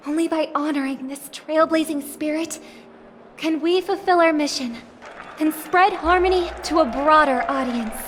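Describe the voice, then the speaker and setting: A woman's voice: high-pitched, normal speed, background noise, close to an everyday voice. An actress playing a poised young woman who gives a formal, gracious ceremonial speech in a video game. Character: poised young woman, festival hostess of a ruling family. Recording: orchestral game music behind. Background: music.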